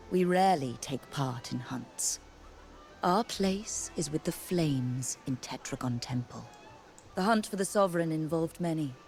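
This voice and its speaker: A woman playing a solemn, composed temple priestess in a video game, speaking in a measured, formal way. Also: deep voice, darkish tone. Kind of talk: scripted video game dialogue.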